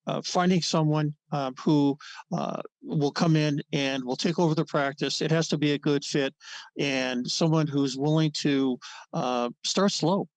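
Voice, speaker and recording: A man's voice: a little high, steady tone, measured pace, no echo. A man in his seventies, a dentist guest, talking in a casual, rambling way with many fillers and restarts. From Ohio. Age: 70s. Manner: chuckles often. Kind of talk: casual interview conversation.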